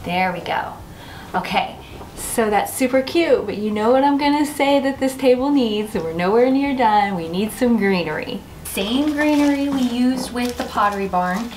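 A woman's voice: on the high side, lively intonation, moderate pace, darkish tone, slight background noise, poor audio. A woman in her forties hosting a chatty home decor vlog, talking through a table setting in her dining room with a camera across the table. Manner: chatty, bubbly, self-teasing. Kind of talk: casual how-to vlog.

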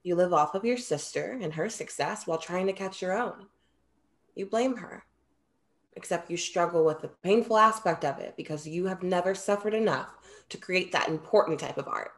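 Composed, low-voiced young muse played by a woman. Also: moderate pace, close to an everyday voice. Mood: firm, measured. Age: young adult. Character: composed, perceptive woman muse, formal and frank. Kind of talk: virtual staged play reading. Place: small room at home, video call. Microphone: video call.

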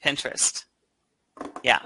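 A middle-aged man hosting a casual online chat, talking haltingly and repeating words, on a headset mic. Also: sharp tone, a little hiss or hum, poor audio. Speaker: talk show host. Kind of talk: casual video chat discussion. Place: home room, video call.

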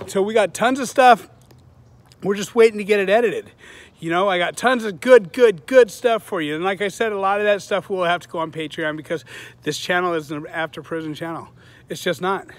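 A man's voice: high-pitched, a bit bright. A man in his forties talking casually to his viewers in a loose, rambling, earnest way with plenty of swearing, recorded outdoors on a phone held at arm's length. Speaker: vlogger, channel host. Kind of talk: casual selfie vlog to viewers. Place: outdoors on a sunny suburban street.

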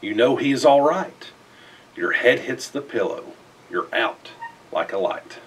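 Slow, animated man reading a storybook, slightly distant. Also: mid-range voice, slight background noise. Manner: expressive, theatrical storytelling with emphatic phrasing. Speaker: storybook reader. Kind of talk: children's picture-book read-aloud in rhyme. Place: indoors in front of a festive backdrop.

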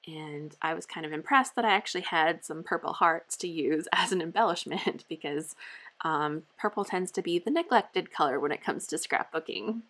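Woman, middle-aged, slightly low voice, chatty voice-over, craft video host, self-amused. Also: a bit bright. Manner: chatty, self-amused, with frequent light laughs. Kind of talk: casual crafting voice-over narration.

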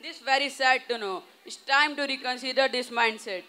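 A man's voice: very high voice, fairly quick, sharp tone, smooth. A teenage boy with an Indian English accent, reciting his part in a rehearsed school panel discussion on a handheld mic on an outdoor stage. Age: teens. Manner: recited, declamatory delivery. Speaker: student panelist.

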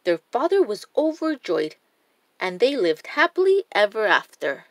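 Woman, children's storybook narration, unhurried, animated intonation, deliberate, dry recording. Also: mid-range voice, no echo. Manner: deliberate, expressive. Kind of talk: expressive scripted storybook narration.

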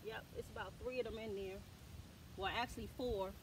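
A woman's voice: medium pitch, steady tone, a little fast. A middle-aged woman chatting casually to viewers while she harvests in her backyard garden. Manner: folksy, addresses viewers as y'all, Southern US dialect. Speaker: homestead vlogger. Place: backyard garden outdoors in summer. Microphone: camera on tripod at a distance. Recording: outdoor camera audio with loud background noise.

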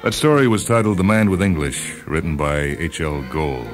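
A man's voice: low-pitched, lively intonation, moderate pace. A man hosting and reading short stories as scripted literary narration. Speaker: host and story reader. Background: music and sound cues.

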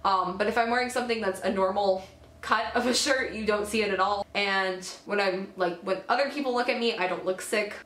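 A teenage girl vlogging casually to camera at home, a little hoarse from a cold, chatty and full of fillers and self-corrections. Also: mid-range voice, a bit bright, echoey room. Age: teens. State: has a cold, slightly hoarse. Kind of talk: casual talking-head vlog. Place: small indoor room at home. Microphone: camera at close range.

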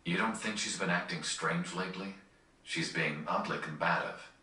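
Game role: serious young resistance chief, deep, measured, intense tone, echoey capture. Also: male voice, steady tone, sharp tone. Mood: grave, suspicious. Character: serious, guarded young male resistance leader. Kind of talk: dramatic video game acting. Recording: roomy, distant capture off a screen.

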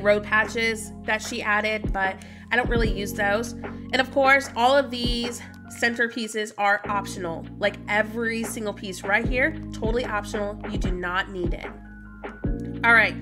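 A woman in her thirties, stuffy from a cold, chatting casually with viewers while livestreaming a game and greeting them by name. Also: a little high. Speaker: streamer. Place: home room, indoors. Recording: music playing behind voice.

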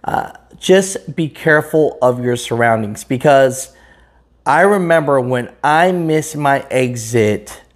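A man's voice: medium pitch, lively intonation. A man in his thirties hosting a trucking channel, talking earnestly and casually straight to camera indoors. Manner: slow, earnest, talks straight to camera with hand gestures. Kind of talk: casual vlog commentary. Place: indoors in front of a green screen.

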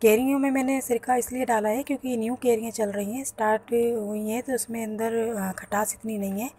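A woman, hosting a home cooking channel and calmly talking through each step of a recipe. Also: on the high side, a little fast, husky. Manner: explains each step plainly to viewers. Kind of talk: home cooking tutorial voice-over. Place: home kitchen.

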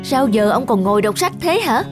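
A woman's voice: a little high, big pitch swings, normal speed, music in the background. A woman playing a nagging, money-minded merchant's wife in a cartoon. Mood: scolding. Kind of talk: cartoon voice acting.

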